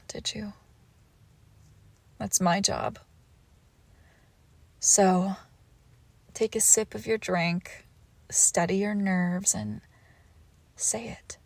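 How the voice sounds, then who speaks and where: A woman playing a suave, controlled villainous ex-lover in an intimate audio roleplay, with measured formal phrasing and soft breathy pauses. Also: mid-range voice, dry recording. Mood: teasing, knowing. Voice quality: creaky, often dropping into whisper. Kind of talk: scripted romantic audio roleplay, intimate and slow.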